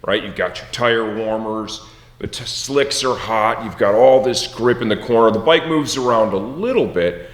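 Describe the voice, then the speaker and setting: An upbeat middle-aged man with a slightly low voice chats and jokes with his son. He keeps asking him leading questions. He is recorded from a distance in a big garage, with a long, roomy echo. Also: normal speed. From Michigan, USA. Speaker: host, team owner and father. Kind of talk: casual chat with his son. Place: large garage workshop indoors. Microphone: far camera, no mic seen. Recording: echoey, roomy garage sound.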